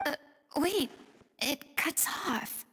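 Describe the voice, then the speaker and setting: A woman playing a compassionate, reflective young woman in a video game, thoughtful and expressive, with a breathy, slightly creaky voice. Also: high-pitched, very slow, big-room sound, full character voice. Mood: somber.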